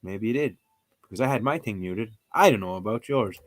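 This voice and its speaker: A man's voice: fairly low pitch, animated, brisk. A man casually commenting on a livestream as he works through a language lesson, joking and throwing in mock exclamations. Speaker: streamer learning a language. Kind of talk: casual live-stream commentary.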